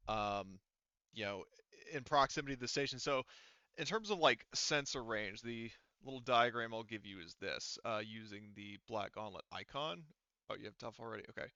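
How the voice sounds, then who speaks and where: A man with a bright, clean voice runs a tabletop roleplaying game as its game master. He narrates casually and haltingly, feeling his way through sentences. The recording is close and dry, slightly dull like voice chat. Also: lowish voice, clear, resonant tone, no room sound.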